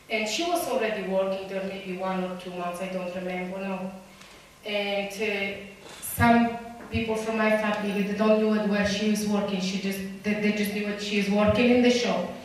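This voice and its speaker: A woman whose first language is Romani shares personal stories with a group, earnest and halting, stopping often to find her words. She is heard from a distance in a very echoey room, with faint ambient sound behind her. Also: mid-range voice, slight background noise. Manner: earnest, halting English with many fillers and restarts. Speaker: community speaker.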